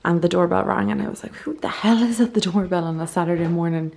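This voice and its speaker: A congested young Irish woman talks casually to her camera at home. Her voice sits a little low and sounds nasal from blocked sinuses. She rambles in an easy, chatty way, full of "like.". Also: fast, creaky, darkish tone. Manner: chatty, peppered with "like". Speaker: beauty and lifestyle vlogger. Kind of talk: casual vlog chat to camera. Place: at home, indoors.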